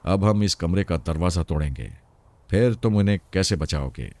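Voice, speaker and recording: A man's voice: deep voice, lively intonation, normal speed, darkish tone, no echo. A man voicing a fearless bandit chief in a story, with a menacing, mocking, commanding manner. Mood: gloating, commanding. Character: sneering, fearless adult male bandit chief.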